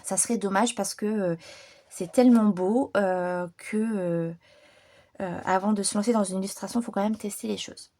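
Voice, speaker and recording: A woman chatting informally and unscripted to her viewers as a hobby channel host, in a relaxed, rambling manner. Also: mid-range voice, normal speed. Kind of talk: casual unscripted chat to viewers.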